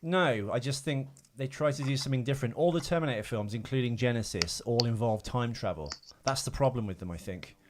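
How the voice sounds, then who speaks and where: A man's voice: mid-range voice, a little fast, hardly any pauses, noisy background. Casual, joking man chatting about films. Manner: joking, sweary banter. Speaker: podcast co-host. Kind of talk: casual film chat, trivia.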